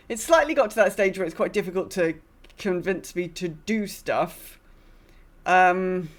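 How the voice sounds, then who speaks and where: A woman hosting a gaming podcast, chatting casually in a rambling, self-deprecating way. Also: fairly low pitch. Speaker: podcast host.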